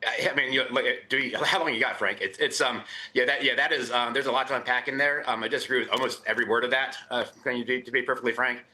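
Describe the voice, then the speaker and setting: A man giving his market views as a guest on a live business news show, talking in a rushed, stumbling, emphatic way. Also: mid-range voice, fairly even intonation, sharp tone. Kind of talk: live TV interview.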